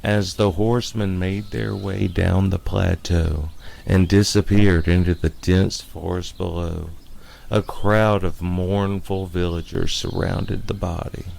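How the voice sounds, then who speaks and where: Casting: male narrator for a scary tale, slow, gravelly, theatrical and ominous. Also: low voice, flat delivery, a little mellow, a little hiss or hum. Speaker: storyteller. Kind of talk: dramatic scripted horror narration. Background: music.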